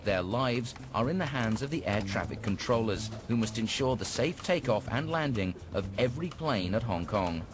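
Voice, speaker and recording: A man's voice: medium pitch, expressive, moderate pace, hardly any pauses. A man reading scripted narration for a television documentary. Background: music.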